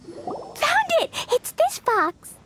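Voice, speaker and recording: An innocent, rash little girl from an anime game. The voice is extremely high and swoops wildly, with slow, childlike, earnest delivery. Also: very animated, over music, full character voice. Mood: excited. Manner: childlike, simple and eager.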